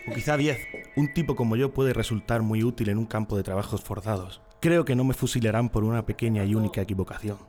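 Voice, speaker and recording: A man's voice: lowish voice, fast, darkish tone, natural voice. A man with a Spanish accent from Spain playing a naive, loyal party man in jail, earnest and confiding, in a radio drama. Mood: anxious, hopeful. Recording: music behind the voice.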